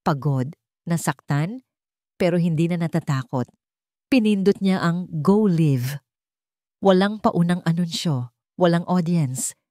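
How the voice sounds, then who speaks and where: A woman with a Filipino accent narrating a scripted dramatic story in deliberate phrasing. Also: fairly low pitch, animated, dark tone, no room sound, clean studio sound.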